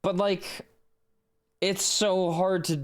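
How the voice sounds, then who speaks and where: A man's voice: high-pitched, little pitch movement, takes it slowly. A man who works as a voice actor and streamer, giving casual live commentary and musing aloud about how words sound. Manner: repeats phrases aloud to study accents.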